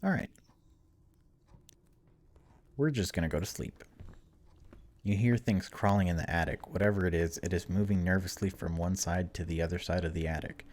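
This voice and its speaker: Low-voiced man reading through a game casually. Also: moderate pace, long pauses, a little mellow. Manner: informal, reacts aloud between read passages. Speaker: gaming video host. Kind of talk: casual let's play, reading game text aloud with commentary.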